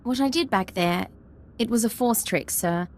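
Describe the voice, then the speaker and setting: An actress playing a dutiful, earnest young military ensign in a video game, speaking directly. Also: female voice, a little high, normal speed, slight background noise, lightly acted. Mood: confessional, guarded.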